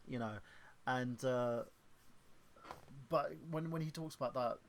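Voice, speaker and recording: Gravelly man rambling on stream. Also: mid-range voice. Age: 30s. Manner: rambling, halting, many fillers and restarts. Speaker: stream host. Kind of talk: casual livestream chat.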